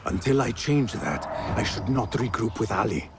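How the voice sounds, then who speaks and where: An actor playing an earnest, purposeful young assassin in a video game. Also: male voice, on the low side, lively intonation, moderate pace, background noise. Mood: grim focus. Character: earnest young male assassin, urgent and protective.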